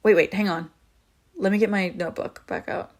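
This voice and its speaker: A woman's voice: mid-range voice, fairly even intonation, normal speed, close to an everyday voice. Wry, teasing young vampire woman, acted by a woman. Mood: curious, clinical. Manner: casual, wry, teasing, swearing freely. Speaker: audio roleplay voice actor. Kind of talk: intimate scripted audio roleplay, conversational.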